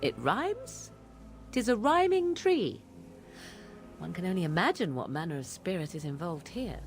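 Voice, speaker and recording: A haughty witch from a fantasy game. Her voice sits fairly low and swings with dry disdain, with light music underneath. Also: big pitch swings, measured pace. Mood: wry disdain.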